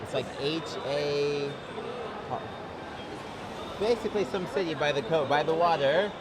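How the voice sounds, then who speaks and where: A man's voice: a little high, a little slow. An American man vlogging, chatting casually outdoors with people he meets on a busy city street. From Texas, United States. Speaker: travel vlogger. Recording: loud busy street, crowd and traffic noise.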